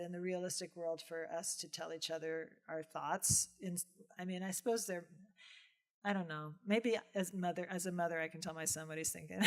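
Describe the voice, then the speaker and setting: A woman speaking as a professor in an informal lecture question-and-answer, talking conversationally with acted-out asides and checking in with listeners. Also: fairly low pitch. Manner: conversational, animated retelling with acted-out asides and frequent "right?". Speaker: professor giving a film lecture and taking audience questions. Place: film screening lecture venue with audience.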